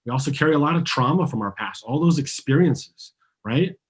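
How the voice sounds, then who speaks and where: A man's voice: fairly high pitch, lively intonation, brisk, husky. A man born in Argentina and raised in the US, coaching a group through a guided tapping session, earnest and asking listeners to repeat his phrases. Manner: earnest, guiding, has listeners repeat after him.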